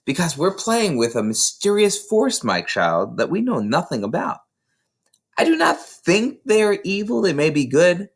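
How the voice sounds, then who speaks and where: A man voicing a patient, careful woman schoolteacher in a storybook, with a measured, explanatory, cautioning manner. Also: high-pitched, wildly swooping pitch, breathy edge, voice pushed up, full character voice. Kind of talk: children's storybook read aloud.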